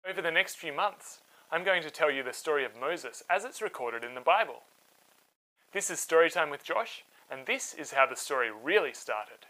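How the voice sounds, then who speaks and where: Male storyteller and host for children's narration: animated, bright, dramatic, clear phrasing. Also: a little high, normal speed, low-quality recording.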